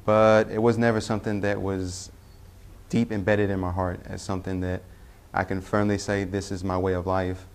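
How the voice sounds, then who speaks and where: A young man from Tennessee, telling his personal faith story in a halting way, with frequent pauses and self-corrections. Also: low-pitched, level tone. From Tennessee, US Southern. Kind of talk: personal testimony.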